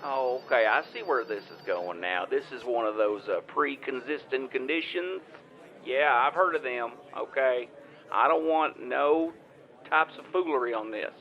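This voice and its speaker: A man acts out a folksy small-town caller in an audio comedy. He has a middling pitch and stumbles over his words, circling back and repeating himself. The sound is a little dull. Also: lively intonation, normal speed, natural voice. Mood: suspicious. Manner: rambling, stammering, repetitive. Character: folksy rambling small-town man, older ex-football player, injured rider. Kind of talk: improvised audio comedy phone call.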